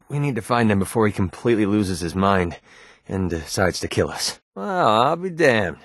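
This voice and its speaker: An actor playing a wry, cocky young mercenary in a video game, with a sardonic, casual, colloquial delivery. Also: male voice, fairly low pitch, no room sound, clean studio sound. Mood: impressed, wry. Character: wry young male mercenary, cocky, wary, protective father. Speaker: video game companion character. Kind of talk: video game voice acting, casual banter lines.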